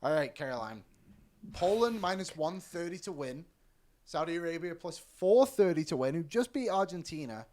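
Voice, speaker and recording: A man hosting a sports podcast, chatting casually and reading out betting odds in a lively way. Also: fairly high pitch, moderate pace. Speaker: podcast host.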